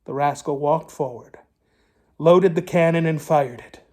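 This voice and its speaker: A man narrating a children's storybook in a theatrical, deliberate way. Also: on the high side, warm tone. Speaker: storybook reader.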